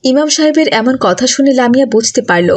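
A woman narrator with a high-pitched voice. She reads a scripted children's cartoon story. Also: animated, brisk.

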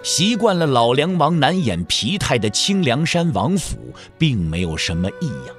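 Animated male narrator over music. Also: fairly high pitch, normal speed. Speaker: audio drama narrator. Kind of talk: scripted literary narration of a martial-arts novel.

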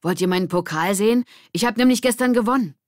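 A woman's voice: medium pitch, fast, no echo, studio quality, voice pushed up, close to an everyday voice. A woman voicing a proud, showy schoolboy athlete in a children's audiobook. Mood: boastful.

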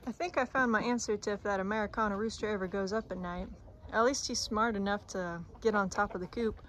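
A young woman chatting casually as she films her farm on a phone held at arm's length, outdoors, sometimes talking to her chickens in a soft coaxing voice. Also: medium pitch, fairly even intonation, a little fast, a little hiss or hum. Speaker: homestead vlogger. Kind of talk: casual vlog narration. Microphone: phone camera held at arm's length. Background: clucking chickens and roosters.

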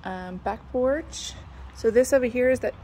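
Lady touring a house build on her phone, slow and gravelly, lots of ums. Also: a little high, mellow, slight background noise. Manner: chatty, thinking aloud with many ums. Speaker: real estate agent. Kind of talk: casual walkthrough video. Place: house under construction, indoors and in the yard. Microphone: phone held by the speaker.

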